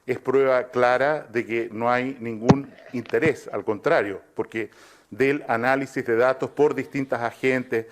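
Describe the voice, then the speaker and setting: A man, a government official, answering a question at a press conference in a formal, halting way. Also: medium pitch, fairly even intonation.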